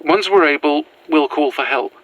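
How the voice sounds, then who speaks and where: A woman's voice: sits low, no room sound, natural voice, low-quality recording. A woman playing an anxious, pragmatic fugitive in a naturalistic found-footage audio drama, speaking hesitantly and earnestly. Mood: anxious, weary. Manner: hesitant, halting, nervous.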